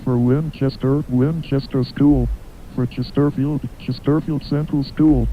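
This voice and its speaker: Dude reading an alert list, clipped official voice, lo-fi sound w faint rumble. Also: male voice, steady tone, measured pace. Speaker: emergency alert announcer. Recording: dull lo-fi broadcast, faint rumble.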